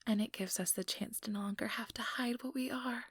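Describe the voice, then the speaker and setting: A woman's voice: a little high, fairly even intonation, fairly quick, no echo. A woman voicing an ambitious, manipulative female vampire in an intimate, coaxing ASMR whisper, close to the microphone. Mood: coaxing, idealistic. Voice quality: breathy, whispered. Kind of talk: ASMR whispered role-play monologue. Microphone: close microphone, dry.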